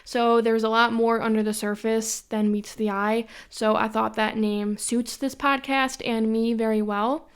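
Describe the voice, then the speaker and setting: A woman's voice: fairly high pitch, little pitch movement, unhurried, few pauses, smooth. A young woman from Western New York hosting her own podcast in a casual, rambling monologue full of opinions and repeated filler phrases. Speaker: podcast host.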